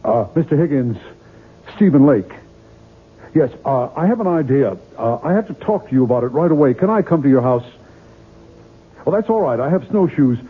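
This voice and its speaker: Irritable novelist, tinny old radio. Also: male voice, mid-range voice, big pitch swings, normal speed, long pauses, slightly rough. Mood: eager, earnest. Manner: curt, weary, grumbling. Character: irritable, guilt-ridden male novelist. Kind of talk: 1970s radio drama acting. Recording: muffled phone-like vintage radio recording.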